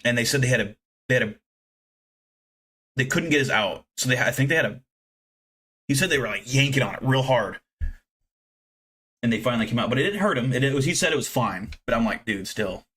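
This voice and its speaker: Thirties male streamer, loose profane chatter, mid-range pitch, self-deprecating. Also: lively intonation, fairly quick, pauses often, fairly bright tone, slightly rough. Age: 30s. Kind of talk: casual live-stream chat with viewers.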